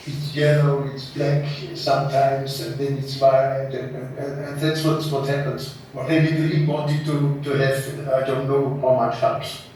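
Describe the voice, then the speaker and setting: A man from West Austria with an Austrian German accent, a German speaker recalling past exhibitions in halting English full of repeats and restarts, in a large exhibition space. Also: medium pitch, level tone, darkish tone, a little hiss or hum. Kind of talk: casual recollection on a guided tour. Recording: roomy hall, speaker far from mic.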